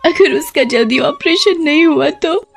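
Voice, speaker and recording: A woman voicing a poor, distraught woman who pleads desperately in an animated story. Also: sits high, moderate pace, gravelly, soft background music. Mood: tearful. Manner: pleading, tearful. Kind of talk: animated Hindi story acting.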